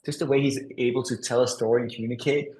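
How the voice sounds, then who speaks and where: A man in his forties from Denmark talks fast and upbeat as an interview guest, often restarting his sentences. His voice sits at a middle pitch. The room around him is echoey and roomy. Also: fairly even intonation, a little mellow. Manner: fast, upbeat storytelling with frequent restarts and laughs. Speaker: podcast guest, marketing executive. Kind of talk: casual interview talk.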